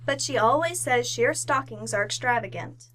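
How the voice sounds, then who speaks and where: A woman's voice: fairly high pitch, moderate pace, fairly bright tone, slight background noise, close to an everyday voice. A woman playing an eager, earnest young niece confiding in her aunt in an old-time radio commercial.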